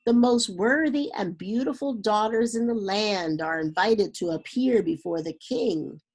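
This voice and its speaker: A woman's voice: mid-range voice, a little slow, a little mellow, husky, poor audio. A woman voicing a formal royal messenger who makes a proclamation in a storybook.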